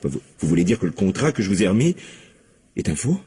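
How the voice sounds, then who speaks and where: A loyal, defensive film producer in middle age for a radio crime drama. The voice is very low and heavily husky. He protests haltingly and gruffly. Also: very animated, dark tone, heavily acted. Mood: rattled, bitter.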